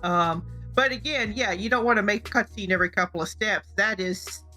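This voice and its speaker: A woman's voice: mid-range voice, a little fast, pushed and bright. A woman co-hosting a casual advice talk, speaking informally and conversationally. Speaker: co-host of a game-making show. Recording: music behind the voice. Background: background music.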